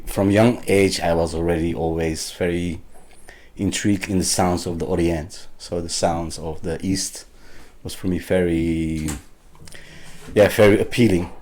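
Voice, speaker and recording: A man from Turkey who grew up in the Netherlands, a guest musician speaking in his third language and often pausing to search for words. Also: deep voice, steady tone, a little mellow. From Turkey, raised in the Netherlands. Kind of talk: casual interview.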